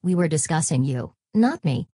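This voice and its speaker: A woman voicing an early therapist chatbot with a low, dark, husky voice. She answers in a flat, evasive way and turns each question back on the asker. The sound is close and dry. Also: normal speed, no room sound, full character voice. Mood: deadpan. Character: literal-minded early therapist chatbot, female.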